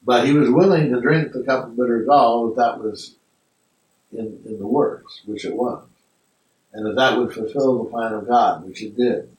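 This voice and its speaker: A man's voice: mid-range voice, moderate pace, a little mellow. A man leading a philosophy class discussion, thinking aloud in a reflective way with some restarts. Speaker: discussion group leader. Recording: echoey room, mic far off.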